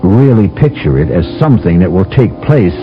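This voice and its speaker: A man's voice: deep voice, big pitch swings, moderate pace. Reflective male radio drama host. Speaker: host narrator. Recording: dull lo-fi old radio broadcast with music behind.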